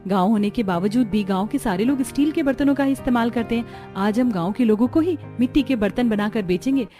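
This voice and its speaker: A woman's voice: on the high side, fairly quick, dark tone, faint music behind, lightly acted. A woman playing an earnest, practical village housewife in a scripted cartoon story. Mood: encouraging. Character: village women, mother-in-law and daughters-in-law, earnest and practical.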